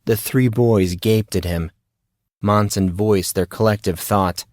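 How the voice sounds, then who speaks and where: A man's voice: on the low side, steady tone, takes it slowly, darkish tone, dry recording, studio quality. A man reading a scripted story as its narrator.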